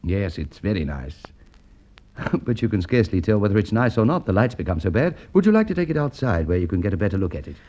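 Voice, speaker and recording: A man's voice: lowish voice, sing-song, fast, warm tone, lo-fi sound. An actor playing a fussy, indignant male shop proprietor, in vintage radio drama style. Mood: courteous, obliging. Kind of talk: Golden Age radio drama acting.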